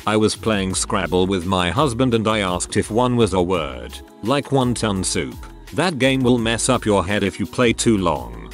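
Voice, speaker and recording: A man reading short written posts aloud as scripted narration, spelling out abbreviations and punctuation in a flat way. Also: lowish voice, unhurried, few pauses, faint music behind. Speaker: narrator of online forum stories.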